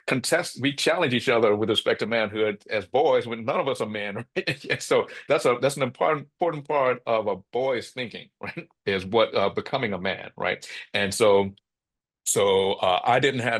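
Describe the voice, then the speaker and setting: A middle-aged man with a bass voice, speaking reflectively as an interview guest, often checking in with "right?" and restarting his phrases. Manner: thoughtful, frequent "right?" tags and restarts. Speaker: podcast guest.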